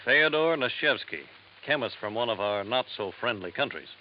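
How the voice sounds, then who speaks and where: A man's voice: medium pitch, lively intonation, moderate pace, lightly acted. A businesslike federal agent voiced by a man laying out facts in an old radio detective play. The sound is muffled and faintly hissy, like a vintage broadcast. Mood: briefing. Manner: businesslike briefing. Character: matter-of-fact male federal agent. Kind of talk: 1950s radio drama acting. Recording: dull lo-fi radio broadcast with hiss.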